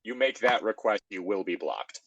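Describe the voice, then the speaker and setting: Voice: low-pitched, lively intonation, moderate pace, fairly bright tone, dry recording, muffled recording. An exasperated, sardonic host casually reading fan fiction aloud and adding comic commentary.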